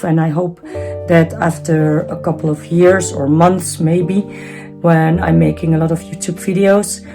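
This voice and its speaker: Woman, 40s, Dutch accent, lowish voice, shy, earnest, home studio, guitar music behind. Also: darkish tone. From Netherlands. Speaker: vlogger. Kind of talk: casual studio tour chat.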